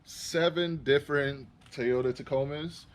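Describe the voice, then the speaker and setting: A man's voice: a little high, fairly even intonation, unhurried. A young adult man from Trinidad and Tobago, telling a rambling personal story as a vlogger, full of fillers and restarts. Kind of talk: casual storytelling. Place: in a vehicle.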